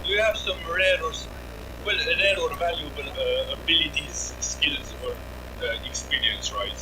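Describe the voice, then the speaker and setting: A man's voice: on the high side, normal speed, heavy background noise. A man whose first language is Estonian, joking and explaining things in casual voice chat with gaming friends.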